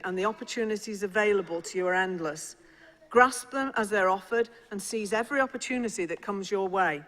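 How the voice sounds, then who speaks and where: A middle-aged speaker with a Liverpool accent gives a measured, formal address to graduates. The voice sits in a middle register and carries a long reverb from a large ceremonial hall. The delivery is steady and encouraging, with small restarts here and there. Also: mid-range voice. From England (Liverpool). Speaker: senior university official.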